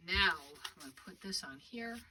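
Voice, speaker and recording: Woman crafter, congested, chatty running commentary while working; mic set back in the room, steady background noise. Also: mid-range voice, moderate pace, far from the mic. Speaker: craft demonstrator. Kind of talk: live craft tutorial.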